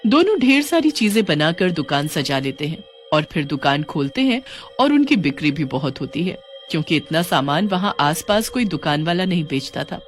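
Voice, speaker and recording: A man delivers scripted storybook narration. His voice sits fairly high for a male, with faint music underneath. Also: big pitch swings, moderate pace.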